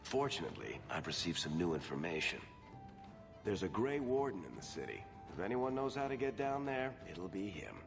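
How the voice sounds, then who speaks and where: Wry fantasy dwarf merchant, deep and animated, game cutscene with music and reverb. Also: male voice, moderate pace, creaky, heavily acted. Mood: dry.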